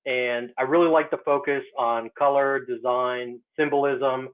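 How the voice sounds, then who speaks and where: A man's voice: mid-range voice, steady tone, unhurried, smooth. A man, an artist, speaking in a casual online talk, hesitant and full of fillers. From United States. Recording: tinny phone-like line.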